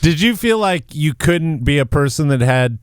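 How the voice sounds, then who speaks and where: Man, podcast guest, punk singer, loud, laughing, smooth resonant voice, dry. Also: on the high side, lively intonation, normal speed, dry recording. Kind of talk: casual conversational interview.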